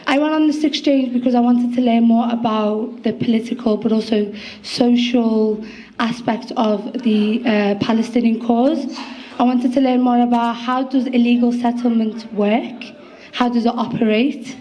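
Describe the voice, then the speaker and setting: A woman's voice: a little high, fairly even intonation, moderate pace, mellow, rough recording. A young woman in her twenties with a Liverpool accent, a guest poet speaking earnestly to an audience, with some fillers. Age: 20s.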